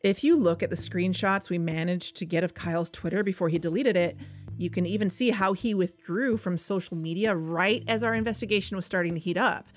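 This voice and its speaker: A woman's voice: fairly low pitch, fairly quick, faint music behind, natural voice. An actress playing an earnest, persuasive young woman who hosts an investigative podcast in an audio drama. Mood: serious, determined. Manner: earnest, coaxing. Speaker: podcast host. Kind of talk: fiction podcast narration and phone dialogue.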